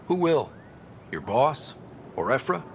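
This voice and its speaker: Animated, easygoing young space leader. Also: male voice, mid-range voice, slow, gravelly, a little hiss or hum, full character voice. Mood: curious. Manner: casual, conversational. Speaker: video game voice actor. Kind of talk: naturalistic game dialogue.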